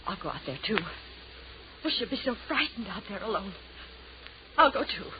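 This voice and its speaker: A woman's voice: mid-range voice, big pitch swings, moderate pace, close to an everyday voice. An actress playing a frightened, unhinged young woman in old-time radio horror, pleading in breathless repeated phrases. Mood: bewildered dread. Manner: breathless repetitive pleading, melodramatic. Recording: noisy, muffled old radio recording.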